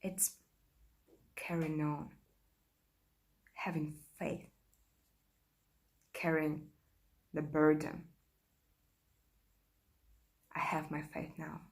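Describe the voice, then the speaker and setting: A woman performing a modern theatrical monologue as a fragile, exhausted young actress, halting and emotional, wavering between despair and faith, sometimes drifting into whispers. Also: low voice, little pitch movement, vocal fry, heavily acted. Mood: quiet resolve. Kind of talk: modern theatrical monologue played as a video call.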